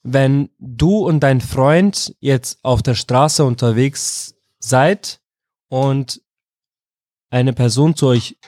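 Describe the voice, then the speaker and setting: A man's voice: mid-range voice, drawn-out words, darkish tone, dry recording, clean studio sound. A man from Iraq interviewing young people in a casual way, often restating his questions in other words. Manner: informal, rephrases his questions several ways.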